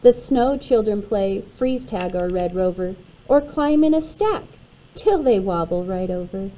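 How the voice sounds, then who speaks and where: A woman reading a rhyming children's picture book aloud in an expressive, measured way. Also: medium pitch, a little hiss or hum, poor audio. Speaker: storybook reader.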